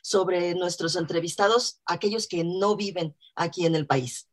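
A woman's voice: lowish voice, measured pace. A woman with a Mexican accent hosting a radio talk show, enthusiastic and chatty, with frequent fillers. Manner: warm, enthusiastic, many fillers. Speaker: radio host. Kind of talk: radio interview.

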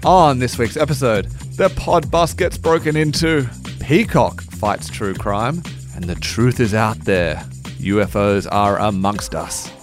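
A man hosting a casual podcast chat, joking and rushing through asides. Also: fairly low pitch, lively intonation, over music. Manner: chatty, joking, speeds up in asides. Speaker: podcast host.

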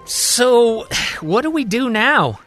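A man's voice: very high voice, big pitch swings, slow, a bit bright, soft background music, voice pushed up, heavily acted. A man voicing an eager, easygoing male hero in a casual manner for an audio story.

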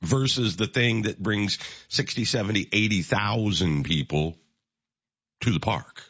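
A man with a very low, deep voice talks casually on a morning radio show. He rambles, restarts his sentences and fills them with "you know." The audio sounds a bit dull. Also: a little slow, a bit bright, husky, no echo. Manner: rambling, repeats words, many "you know"s. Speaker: radio co-host. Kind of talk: casual local radio talk.

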